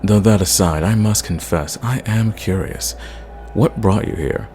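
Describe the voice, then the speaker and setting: A man playing an ancient, sadistic, condescending vampire in an ASMR roleplay, speaking in a theatrical, measured way and drawing out his words. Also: fairly low pitch, dark tone, faint music behind, lightly acted. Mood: wry, curious.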